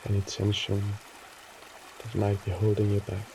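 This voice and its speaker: A man narrating a guided meditation in a soothing, gently instructing way, with short phrases and pauses between them. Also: fairly low pitch, completely flat delivery, gravelly, noisy background.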